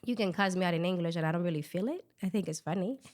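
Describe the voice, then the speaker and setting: Emphatic woman, low voice. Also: racing, darkish tone. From Dominican Republic. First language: Spanish. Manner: emphatic, motivational, asks "right?" often. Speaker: podcast co-host. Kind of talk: casual podcast conversation.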